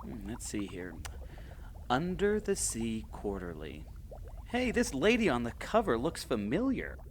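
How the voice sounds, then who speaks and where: Actor as easygoing, animated adventurer guy. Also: mid-range voice, measured pace, a little hiss or hum. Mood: wondering. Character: easygoing, awestruck male adventurer friend. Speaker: voice actor in an audio drama. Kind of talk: audio adventure drama acting.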